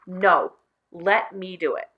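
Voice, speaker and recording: A woman's voice: on the low side, slow pace, muffled recording. A woman voicing a boastful, manic young boy from a children's storybook in a theatrical, declaiming manner. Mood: hesitant. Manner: theatrical, declaiming with repeated words.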